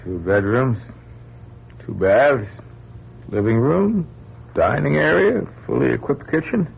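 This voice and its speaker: An actor playing a blunt, wisecracking, skeptical astronaut in a vintage radio drama, with a gravelly, husky voice. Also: male voice, medium pitch, lively intonation, takes it slowly, full character voice. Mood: dryly appraising. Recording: thin, phone-like old radio recording.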